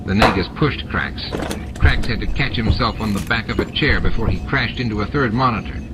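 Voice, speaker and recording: A man's voice: lowish voice, fairly quick, noisy background. A man reading a novel as scripted audiobook narration, with music and sound effects behind him. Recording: dull lo-fi audiobook dub.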